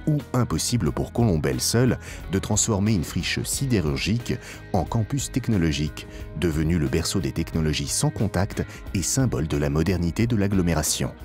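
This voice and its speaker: A man reading a scripted promotional documentary narration in a formal, measured way, with emphatic phrasing. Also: sits low, animated, a little mellow. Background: background music.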